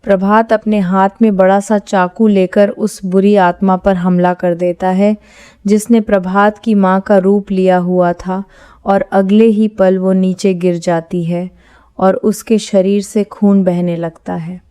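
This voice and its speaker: A female narrator tells a scripted horror tale. Her voice is dark and mellow at a middle pitch. The recording is dry and close, without room echo. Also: flat delivery, slow pace, clean tone.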